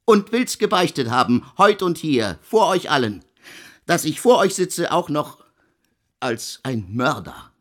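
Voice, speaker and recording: Ein Mann spielt einen gequälten Komponisten, der in einem Hörspiel in altertümlichem Deutsch feierlich und stockend seine Sünden beichtet, mit heiserer Stimme. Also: male voice, on the high side, very animated, a bit bright, heavily acted. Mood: anguished. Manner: solemn, archaic, halting confession. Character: tormented composer confessing his sins in archaic German. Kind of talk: radio play monologue.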